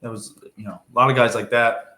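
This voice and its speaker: A young man from Minnesota talks casually as a podcast guest, rambling and restarting his sentences in an easygoing way. His voice is middle-pitched and carries the echo of a roomy space. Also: fairly even intonation, fairly quick, sharp tone.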